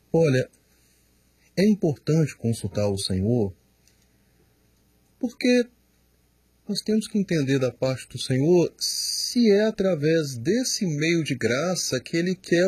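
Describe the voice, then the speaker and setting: A man, a pastor, answering questions in a studio, slow and emphatic, often repeating words as he explains. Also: fairly high pitch, a little mellow, husky, no room sound. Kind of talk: studio Q&A teaching.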